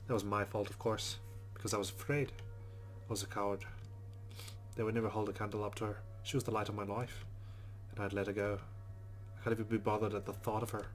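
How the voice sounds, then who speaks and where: A man's voice: on the low side, little pitch movement, quick pace, close to an everyday voice. A man reading the first-person thoughts of a rueful young Irish woman in a visual novel, with a put-on Irish accent.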